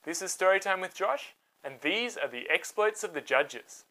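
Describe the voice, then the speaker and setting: Animated woman storyteller. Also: on the low side, normal speed, loud music behind the voice, rough recording. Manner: dramatic, expressive. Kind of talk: scripted children's Bible story narration.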